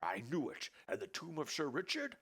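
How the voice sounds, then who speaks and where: A man's voice: fairly high pitch, wildly swooping pitch, moderate pace, vocal fry, fairly bright tone, husky, voice pushed up, exaggerated voice. A man voicing an absent-minded elderly scholar father in a fussy, raised voice. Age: older.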